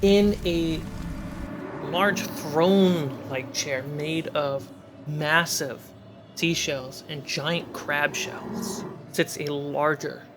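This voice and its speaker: A game master narrating a fantasy tabletop adventure in a measured way, pausing between short atmospheric phrases. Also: mid-range voice. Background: cinematic background music.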